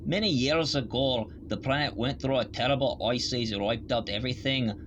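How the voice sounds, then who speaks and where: A man's voice: medium pitch, normal speed, dull audio, lightly acted. A man playing an earnest second-in-command researcher in a fan audio drama. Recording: noisy ambience behind the voice.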